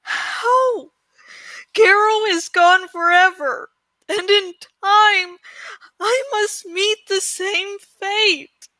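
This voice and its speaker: Woman voicing an anguished, high-pitched grieving boy. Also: steady tone, sharp tone, no room sound, full character voice. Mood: anguished, despairing.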